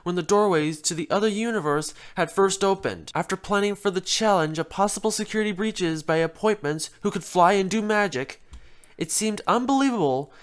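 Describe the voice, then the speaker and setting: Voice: medium pitch, brisk. A narrator reading a scripted comic fan fiction story aloud.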